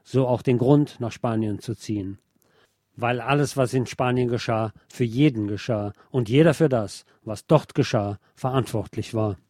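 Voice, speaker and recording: Ein Mann, der als Sprecher einer Radiosendung einen literarischen Sachtext auf Deutsch mit deutschem Akzent vorliest. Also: male voice, medium pitch, moderate pace, a little mellow, dry recording, studio quality. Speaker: radio programme presenter reading a book chapter. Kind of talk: scripted narration, literary reading.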